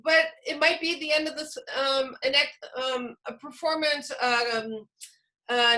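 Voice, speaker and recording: High, bright-voiced woman presenting informally. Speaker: presenter, teacher trainer.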